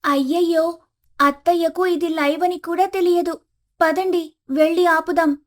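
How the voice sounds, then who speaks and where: A woman voicing a young village daughter-in-law, polite but frank, in an animated family story. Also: very high-pitched, unhurried, voice pushed up. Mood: worried. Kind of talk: animated moral story acting.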